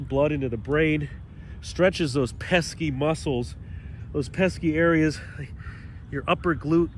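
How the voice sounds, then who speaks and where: A man leading a stretching routine as fitness instructor, cueing calmly and chatting in between, with a slightly stuffy nose, outdoors. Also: a little high, slight background noise. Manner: calm, chatty cueing with breathy sighs.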